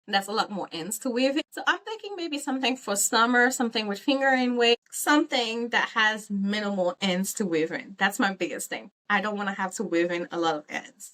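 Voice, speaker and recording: A woman who grew up in Haiti talks to her viewers in a loose, rambling vlog. Her pitch sits squarely in the middle. She fills pauses with ums and pokes fun at herself as she goes. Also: expressive, bright, forceful tone. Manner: chatty, rambling, self-teasing, with frequent ums. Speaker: crochet vlogger. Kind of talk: casual vlog chat.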